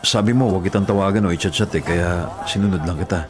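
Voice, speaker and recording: An actor as a low-voiced, earnest Filipino young man. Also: fast, natural voice. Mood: hesitant, tender. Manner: earnest, hesitant, emotive delivery. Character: devoted, struggling older brother and gentle sweetheart. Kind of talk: Tagalog radio drama acting. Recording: music under the voice.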